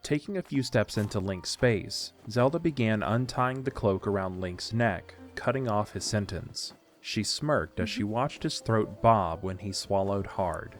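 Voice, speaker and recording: Male narrator for fantasy audio drama: lowish voice, scripted storytelling, soft music bed underneath. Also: expressive, unhurried, few pauses.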